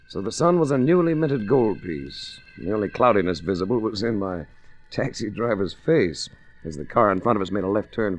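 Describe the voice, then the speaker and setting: Hard-boiled private investigator played by a man, lowish voice with very mobile pitch, wry narration, vintage radio. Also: muffled recording, lightly acted. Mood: dry, businesslike. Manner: hard-boiled, dryly witty first-person storytelling. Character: tough, wry male investigator narrating his own case. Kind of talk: 1940s radio detective acting. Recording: restored old radio with hiss.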